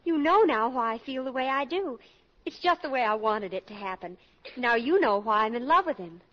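A woman's voice: a little high, expressive, normal speed. A woman in a 1940s radio drama playing an eager, lovestruck college girl of seventeen, earnest and chatty. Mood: dreamy, earnest. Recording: dull vintage radio recording.

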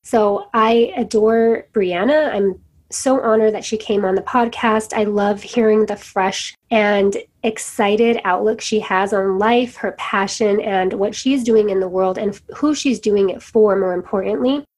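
A woman's voice: mid-range voice, unhurried, darkish tone. A woman hosting a podcast, talking in an enthusiastic, encouraging way as she introduces her guest. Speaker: podcast host. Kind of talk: upbeat podcast interview.

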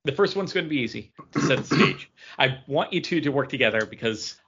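A man runs a quiz in loose, bantering podcast style. He speaks haltingly and often stumbles mid-sentence. The recording is somewhat dull and lo-fi. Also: mid-range voice, animated, pushed and bright, a little hiss or hum. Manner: halting, stumbles over words. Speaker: podcast co-host running a trivia game. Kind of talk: casual banter, quiz hosting.

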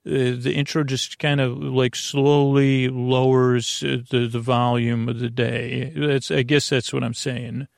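A man hosting a podcast with a meandering, halting, digressive bedtime monologue. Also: mid-range voice, flat delivery, creaky, no echo, studio quality. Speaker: podcast host.

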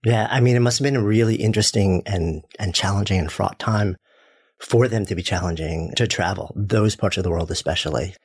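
Quick, husky male interviewer. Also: lowish voice. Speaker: interviewer, host. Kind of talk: conversational interview.

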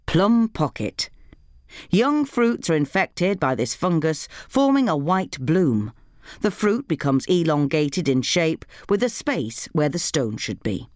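Woman reading a how-to guide, low voice, pitch moves around a lot, dry close sound. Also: big pitch swings, takes it slowly, a little mellow, no echo. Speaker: podcast reader of a gardening guide. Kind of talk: scripted narration, reading an informational article.

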